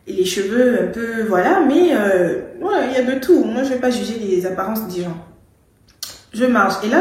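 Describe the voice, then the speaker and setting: A woman's voice: mid-range voice, a little mellow, heavy reverb. A young woman from Gabon chatting casually as she tells a storytime, with many fillers and some English words. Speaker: vlogger.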